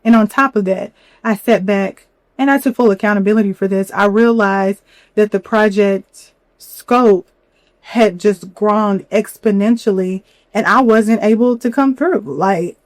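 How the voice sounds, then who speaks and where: A young woman hosting a solo podcast, a little hoarse from a cold, talking candidly with lots of "you know". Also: mid-range voice, unhurried, no echo. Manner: candid, chatty, many fillers and "you know". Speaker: podcast host. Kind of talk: reflective solo podcast talk.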